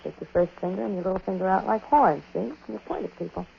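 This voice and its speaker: An actress playing an uneasy, streetwise wife in a 1940s radio drama, with a husky voice and slangy, hesitant talk. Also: female voice, medium pitch, quick pace, full character voice. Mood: uneasy, explaining. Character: uneasy streetwise wife who dabbles in psychic tricks. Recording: muffled 1940s radio broadcast recording.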